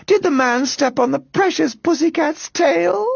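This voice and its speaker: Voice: high voice, unhurried, vocal fry, no room sound, highs cut off, voice pushed up, heavily acted. A narrator voicing a fussy, doting woman in a storybook, cooing and exclaiming. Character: fussy woman doting on her pet cat.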